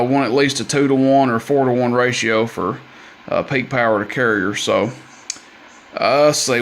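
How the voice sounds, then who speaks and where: A man giving a casual, plain-spoken product demonstration of equipment, with folksy, hesitant wording. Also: mid-range voice. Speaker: radio seller demonstrating equipment. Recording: amateur video with clear background noise.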